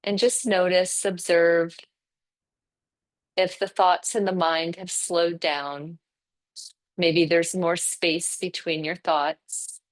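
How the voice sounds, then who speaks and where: A woman yoga instructor leading breathing and relaxation practice in a gentle, unhurried way, leaving pauses between instructions. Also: fairly low pitch, little pitch movement.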